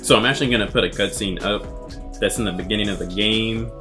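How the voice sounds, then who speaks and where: A man's voice: fairly low pitch, fast talker. A man giving casual, analytical commentary as a video essayist. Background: music.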